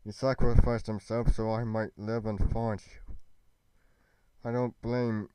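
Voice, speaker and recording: A man playing an earnest young fantasy adventurer in an amateur roleplay, with a solemn, deliberate delivery. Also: fairly low pitch, little pitch movement, dark tone, clear, resonant tone, no echo, close to an everyday voice, rough recording. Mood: anguished.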